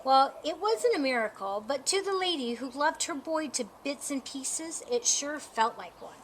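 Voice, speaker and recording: A woman reading a children's picture book aloud as a storyteller, with an expressive, deliberate storytime delivery. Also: high-pitched, noisy background. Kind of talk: scripted children's story narration.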